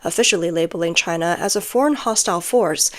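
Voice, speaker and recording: A woman's voice: on the low side, quick pace. A woman reading scripted news narration, including translated quotes, as the narrator of a report.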